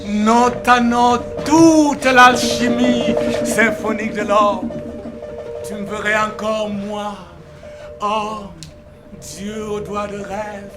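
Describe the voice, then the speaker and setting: A man giving a theatrical poetry recital in a solemn, dramatic, declamatory manner. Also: sits high. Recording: roomy echo, faint music behind.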